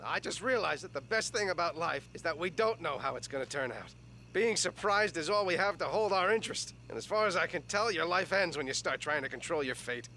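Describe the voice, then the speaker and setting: An actor playing a frantic, exasperated middle-aged male duck in an animated comedy, whiny and explosive. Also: very high-pitched, a little fast, creaky, slight background noise, heavily acted. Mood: earnest, resolved.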